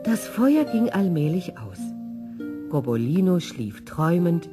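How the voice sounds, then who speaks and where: A woman reading a children's story in an expressive, scripted narrating style. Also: on the low side, unhurried, music in the background.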